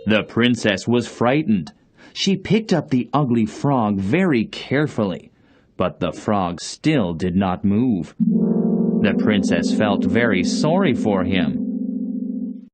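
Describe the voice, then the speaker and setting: A male narrator reads a children's storybook in a fairly low voice. His delivery is unhurried and lively in intonation, with faint music behind him.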